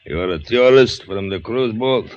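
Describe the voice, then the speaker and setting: Man playing bullying knife-wielding crook, lowish voice, mocking, theatrical, old radio play, dull audio. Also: moderate pace, darkish tone, lightly acted. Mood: sly, mocking. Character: menacing, sardonic male criminal with a knife, bullying. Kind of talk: radio drama acting.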